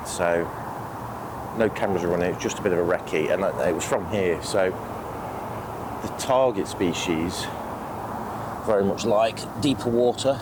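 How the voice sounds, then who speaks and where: A British man with a croaky, sniffly voice from a cold, chatting casually and a little hesitantly as a fishing vlogger outdoors. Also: low-pitched, noisy background. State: has a cold. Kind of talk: casual outdoor vlog talk to camera. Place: outdoors on a lake bank.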